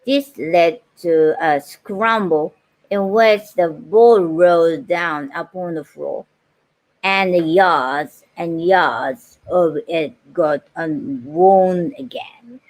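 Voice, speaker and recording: Woman, middle-aged, Japanese accent, very slow pace, lowish voice, halting livestream host. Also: poor audio. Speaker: livestream host, voice-over artist. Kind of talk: reading aloud and casual livestream chat.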